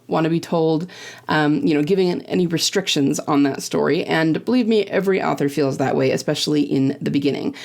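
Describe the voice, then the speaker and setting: A woman's voice: lowish voice, creaky, a little mellow. A woman hosting a podcast that teaches fiction writing, chatting casually and upbeat, often checking in with "right?" and "you know". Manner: upbeat, laughs between points.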